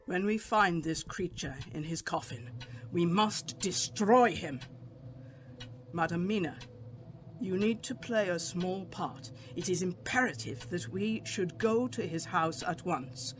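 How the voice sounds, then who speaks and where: An actor playing a grave, commanding professor who hunts vampires in a radio drama, solemn and formal in delivery. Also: male voice, high voice, slow, a bit bright, slight background noise. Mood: solemn, urgent.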